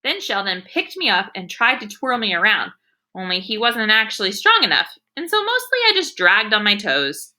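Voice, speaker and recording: A woman's voice: mid-range voice, big pitch swings, normal speed, sharp tone. A woman reading as a spirited, quirky young schoolgirl who tells her story with childlike, emphatic enthusiasm. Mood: excited.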